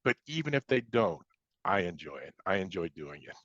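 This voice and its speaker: A man's voice: low voice, big pitch swings, moderate pace, fairly bright tone, no room sound, dull audio. An American man in his seventies from Chicago talking casually as an interview guest, hesitating and repeating words as he reminisces. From Chicago, United States. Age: 70s.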